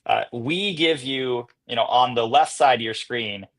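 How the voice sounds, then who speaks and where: A man speaking as an interview guest, explaining and demonstrating software in a casual, conversational style. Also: medium pitch, a little slow. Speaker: podcast guest, company co-founder and CEO.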